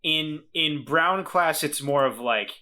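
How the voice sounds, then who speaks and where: A man's voice: fairly high pitch, slow, pushed and bright. A man playing in a tabletop game, chatting casually and repeating words as he thinks aloud. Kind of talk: casual improvised game chat.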